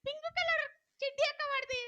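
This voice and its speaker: A performer voicing a cheeky, mischievous little boy puppet in a squeaky childlike voice for a children's puppet show. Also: steady tone, fast talker, pushed and bright, lo-fi sound. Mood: cheeky, eager.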